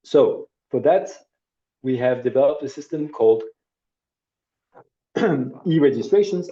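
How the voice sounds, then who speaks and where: A middle-aged man teaching a workshop over a video call, demonstrating a service in a relaxed, conversational way with hesitations and asides. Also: medium pitch. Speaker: workshop instructor. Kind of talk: live online training demo. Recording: dull lo-fi video call audio.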